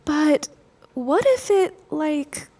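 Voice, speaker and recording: Nervous sci-fi crewmate: high-pitched, slow, hesitant, full of doubt. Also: female voice, big pitch swings, mellow, exaggerated voice. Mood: worried. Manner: hesitant, questioning. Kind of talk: audio drama acting.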